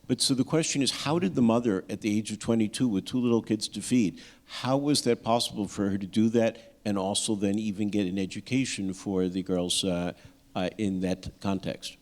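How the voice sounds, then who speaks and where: Low-voiced man questioning from the audience. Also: normal speed, darkish tone. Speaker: audience member asking a question. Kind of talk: long question with preamble.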